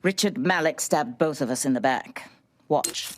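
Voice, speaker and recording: A woman's voice: deep voice, moderate pace, dry recording, lightly acted. An actress playing a ruthless, calculating female spy, in a video game. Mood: menacing. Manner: cool, menacing.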